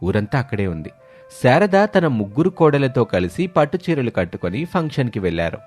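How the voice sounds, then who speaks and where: A man's voice: medium pitch, very animated, fairly quick, a little mellow, faint music behind. A man narrating a scripted moral story.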